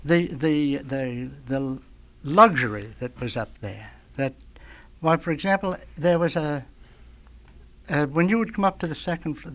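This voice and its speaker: Brief: mature male radio veteran, mid-range voice, nostalgic studio reminiscence, emphatic storyteller. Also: medium pitch. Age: middle-aged or older. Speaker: veteran radio sound effects man, guest.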